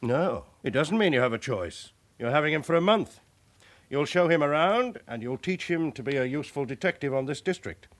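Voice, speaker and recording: Stern, lively British police chief, played by a man. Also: a little high, moderate pace, natural voice. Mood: brisk, commanding. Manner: authoritative, clipped, orderly delivery. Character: stern, brisk male police chief inspector, dryly commanding. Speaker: actor in a radio drama. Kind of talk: British radio drama acting.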